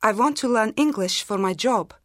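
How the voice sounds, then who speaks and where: Woman doing a 30ish teacher, kinda high voice, brisk even intro, dry studio. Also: no echo, close to an everyday voice. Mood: plain. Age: 30s. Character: married woman teacher of thirty. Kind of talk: scripted language-course monologue.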